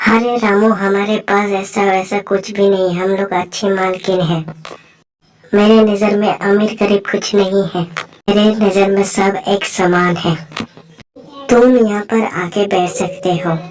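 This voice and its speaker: A woman's voice: medium pitch, steady tone, a little slow, darkish tone, close to an everyday voice, rough recording. A woman voicing friendly, chatty young women in a cartoon story, speaking informally. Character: friendly, egalitarian young women on a forest outing.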